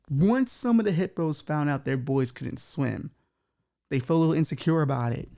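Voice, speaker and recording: A man's voice: fairly high pitch, vocal fry, dark tone. A man hosting a comedy podcast, reading news stories aloud with stumbles and crude, mocking jokes. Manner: crude, mocking, swears freely. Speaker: podcast host. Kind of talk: comic riffing on news stories.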